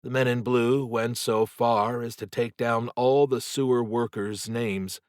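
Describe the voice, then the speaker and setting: A man narrating a horror novel as an audiobook, telling the story in a measured, dramatic, suspenseful way. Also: mid-range voice, steady tone, no echo, studio quality.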